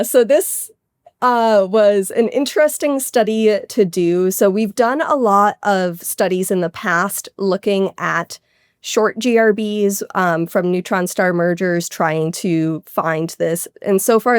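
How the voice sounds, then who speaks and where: A woman's voice: medium pitch, takes it slowly, smooth, no room sound, studio quality. A woman astronomer being interviewed, explaining technical science in a casual, conversational way peppered with "like".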